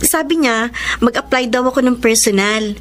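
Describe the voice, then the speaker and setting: A young woman acting an earnest, forgiving younger sister in a radio drama, with a Filipino accent and a soft, emotional delivery. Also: on the high side, normal speed, close to an everyday voice. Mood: hopeful. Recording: music bed under studio dialogue. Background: background music.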